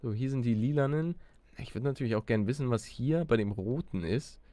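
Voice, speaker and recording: A man's voice: mid-range voice, fairly quick, dark tone, no room sound. A man hosting a Let's Play, thinking aloud casually in slang peppered with English gaming words. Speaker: gaming video host.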